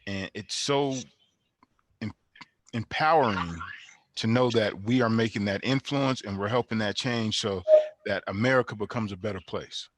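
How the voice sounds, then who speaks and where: A man with a lowish voice and a dry, close sound makes unscripted panel remarks. He is earnest and restates phrases to underline them. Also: moderate pace, fairly bright tone, dry recording. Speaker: panel participant.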